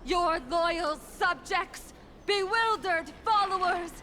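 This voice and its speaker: Casting: grandiose young female herald, piercingly high and bright, declamatory game delivery. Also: slow, a little hiss or hum, exaggerated voice. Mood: proclaiming. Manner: pompous, declamatory. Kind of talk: video game acting, theatrical proclamation.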